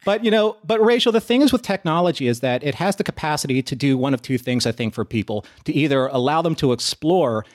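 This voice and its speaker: A man speaking as a podcast panelist in a reflective discussion, earnest and philosophical, with measured phrasing. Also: fairly high pitch, expressive.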